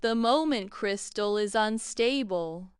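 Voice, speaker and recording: A man voicing a kind, calm woman professor of magic in a bedtime story, gently reassuring. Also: sits high, takes it slowly, clean tone, no echo, studio quality, pitched above the performer's own voice.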